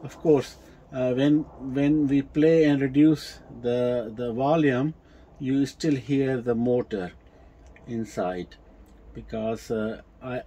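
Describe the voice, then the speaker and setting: Man, non-native English accent, slow and hesitant, slightly rough soft-edged voice, casual explainer style. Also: mid-range voice, lo-fi sound. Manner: hesitant, halting delivery with repeated words. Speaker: presenter of vintage audio equipment videos. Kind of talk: casual unscripted demonstration and explanation.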